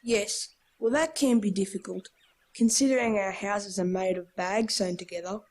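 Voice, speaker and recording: A woman's voice: mid-range voice, moderate pace, darkish tone, natural voice. A woman playing a wry, sensible Australian schoolchild in a nostalgic radio play. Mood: dry, sensible. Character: wry, sensible school-age child, gently teasing.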